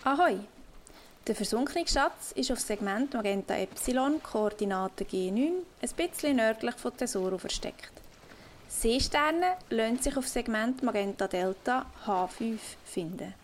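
A woman voicing a pirate's treasure note in an audio play, reading it out in a hearty, deliberate way. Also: a little high, animated, a little hiss or hum. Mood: hearty, instructive. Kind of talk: scripted message in an improvised audio play.

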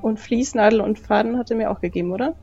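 Higher-pitched woman, slightly muffled recording. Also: fast, gravelly, loud music behind the voice. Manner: polite, conversational. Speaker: role-play player. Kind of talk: casual improvised in-character dialogue.

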